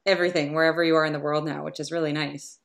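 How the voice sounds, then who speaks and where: Podcast host, young woman, cheerful and chatty, lower pitch. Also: level tone, rapid-fire, gravelly. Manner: upbeat, chatty, with frequent ums. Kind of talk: podcast interview.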